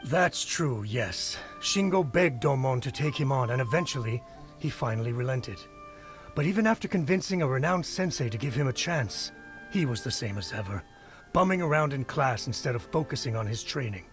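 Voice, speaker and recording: A man's voice: mid-range voice, lively intonation, normal speed, soft background music, lightly acted. A man voicing a gossipy village man in a video game, talking in a matter-of-fact and mildly scornful way. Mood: disapproving.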